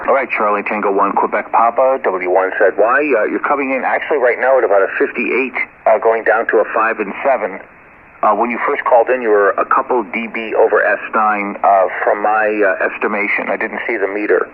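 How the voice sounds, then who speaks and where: Man, lowish voice, tinny ham radio audio, halting delivery, courteous casual operator chat, call signs. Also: fairly even intonation, slight background noise. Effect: shortwave radio transmission. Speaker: amateur radio operator running contacts.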